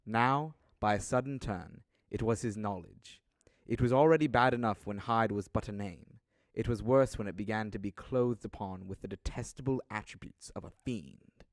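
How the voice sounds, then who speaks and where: A man's voice: on the low side, moderate pace, no echo, clean studio sound. A young man reading scripted literary narration for an audio drama in a formal, dramatic storytelling manner.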